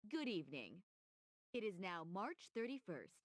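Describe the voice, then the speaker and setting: A woman playing a brisk, neutral television news anchor in a video game, reading formally. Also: on the low side, big pitch swings, no room sound, studio quality.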